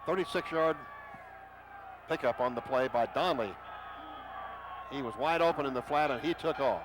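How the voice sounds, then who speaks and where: A middle-aged man calling a football game for radio, quick play-by-play that jumps to shouts on big plays. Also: mid-range voice, a crowd in the background. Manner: rapid excited calls rising to shouts on big plays. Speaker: play-by-play announcer. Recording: noisy stadium radio broadcast.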